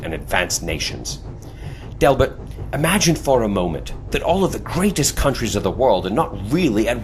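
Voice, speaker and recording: An actor playing a courteous, confident leader of secret agents in an adventure audio drama, speaking in a genteel, theatrical way. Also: male voice, medium pitch, a little hiss or hum, close to an everyday voice.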